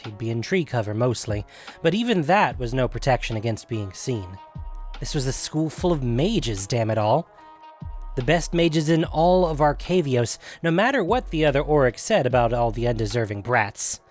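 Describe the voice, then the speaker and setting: Male fantasy narrator over faint music. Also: medium pitch. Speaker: narrator of a fiction podcast. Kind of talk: scripted fantasy story narration.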